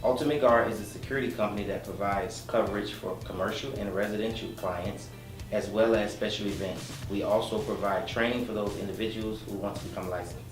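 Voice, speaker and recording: A man who owns a security company, speaking in a measured, businesslike way about his firm. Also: fairly low pitch, steady tone, echoey room, music in the background. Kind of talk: reading a promo, then guest chat.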